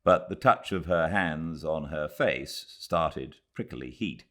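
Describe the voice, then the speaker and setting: A man from Britain narrates a classic novel from beside his home fireplace, after a relaxed, chatty greeting to his listeners. His voice sits very low. He takes his time over each phrase. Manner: relaxed, chatty intro then measured literary reading. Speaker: audiobook narrator. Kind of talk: live fireside book reading.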